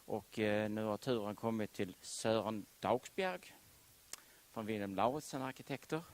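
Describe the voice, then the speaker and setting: A man introducing a guest speaker at a university lecture, halting with frequent fillers. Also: on the low side. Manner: hesitant, many fillers. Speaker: lecture series host. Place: lecture hall.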